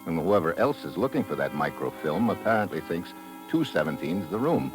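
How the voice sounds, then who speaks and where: A cool, hard-boiled investigator in a vintage radio drama. His voice sits very low, and he speaks in a dry, understated way, whether questioning someone or narrating his case. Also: sing-song, fairly quick, over music. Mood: brooding narration.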